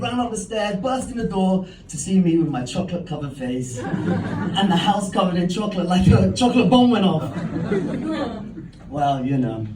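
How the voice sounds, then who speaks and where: A man's voice: fairly high pitch, dark tone. A man, doing a stand-up comedy set with a punchy, self-mocking delivery. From London. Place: comedy club stage. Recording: roomy, distant, crowd and hum. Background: audience laughter.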